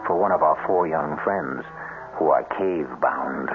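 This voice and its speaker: A man's voice: rumbling low, a little slow, noisy background. A man narrating a radio mystery drama in a theatrical, wry and ominous manner. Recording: tinny band-limited old radio broadcast.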